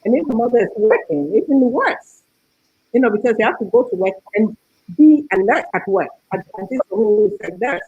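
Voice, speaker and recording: A woman's voice: fairly high pitch, expressive, fairly quick, dark tone, husky, no room sound, muffled recording. Earnest mother on a dry call. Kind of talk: online talk show chat.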